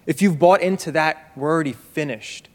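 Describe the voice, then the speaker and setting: A young man giving a loud, emphatic testimony to a church congregation, holding a handheld mic low, in a reverberant sanctuary. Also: moderate pace. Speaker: young adult group member giving testimony. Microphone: handheld microphone held low.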